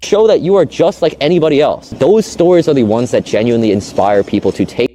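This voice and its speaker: A man's voice: mid-range voice, fairly quick, warm tone, slight background noise. A man speaking in a presenting style in short demonstration clips. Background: music.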